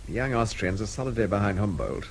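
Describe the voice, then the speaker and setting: An actor playing a calm, observant man in a radio drama, clipped and inquisitive in manner. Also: on the low side, quick pace, a little hiss or hum. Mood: measured, observant. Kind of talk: British radio drama acting.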